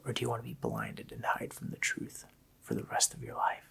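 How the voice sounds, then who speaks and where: A wicked, child-snatching Christmas demon in an ASMR roleplay. The voice is a sharp, hissing whisper that breaks into gravelly fry. He taunts slowly, with mocking menace. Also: medium pitch, wildly swooping pitch, exaggerated voice. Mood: threatening. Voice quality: breathy, gravelly rasping whisper.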